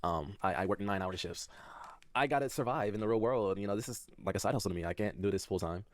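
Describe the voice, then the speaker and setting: Young man, quick and self-doubting. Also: lowish voice. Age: 20s. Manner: soft-spoken, self-doubting, laughs nervously. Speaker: content creator in a clip being reacted to. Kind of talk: candid personal talk to his audience.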